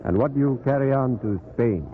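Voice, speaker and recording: A man's voice: medium pitch, brisk, narrow, tinny audio, close to an everyday voice. An actor playing a grave, fatherly priest who moralizes solemnly, in 1940s radio drama style. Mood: probing, grave. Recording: noisy, thin 1940s radio recording.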